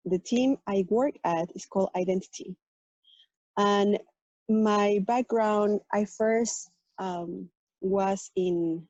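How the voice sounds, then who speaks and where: A woman from Mexico speaks English with a Mexican accent, Spanish being her first language. Her voice is mellow and relaxed at a medium pitch, and she explains things patiently with small restarts. The sound is dry and a bit dull, as from a video call. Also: no room sound. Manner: earnest, explanatory, with fillers and restarts. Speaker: tech program manager, panel guest. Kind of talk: personal story on a virtual panel.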